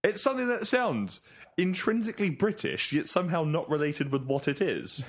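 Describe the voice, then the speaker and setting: A man's voice: fairly high pitch, very animated, normal speed. A man co-hosting a casual podcast chat, joking and playful. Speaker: podcast co-host.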